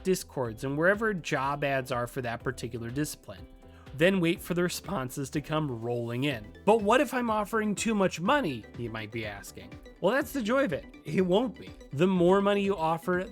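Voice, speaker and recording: A man's voice: on the high side, fairly bright tone. A man presenting an informal scripted tutorial in a conversational, emphatic way. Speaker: video host, game developer and former freelance artist.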